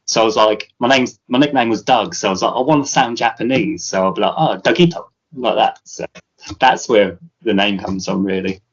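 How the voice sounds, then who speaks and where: A man's voice: fairly low pitch, a little fast, highs cut off. A British man, a musician guest, chatting casually in an interview, rambling with many fillers and restarts.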